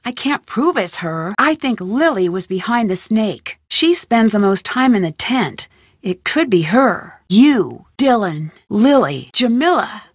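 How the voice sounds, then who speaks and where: Casting: a young game sleuth, wry and curious, bright voice, dry studio sound. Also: female voice, fairly high pitch, no echo. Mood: wary. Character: young female amateur detective, curious and wry. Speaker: game protagonist. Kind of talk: video game voice acting. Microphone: close studio mic.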